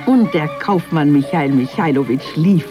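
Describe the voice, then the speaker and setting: A woman narrator tells a children's fairy tale in a radio drama. Her voice is low for a woman, and faint music sits behind it. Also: lively intonation, moderate pace. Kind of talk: scripted children's storytelling narration.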